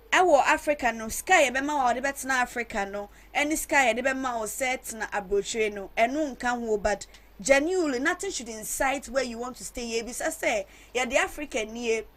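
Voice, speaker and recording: Woman hosting a talk show. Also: high voice. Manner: switches between English and Twi; chatty, repeats and rephrases her questions. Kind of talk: hosting a discussion, putting questions to guests.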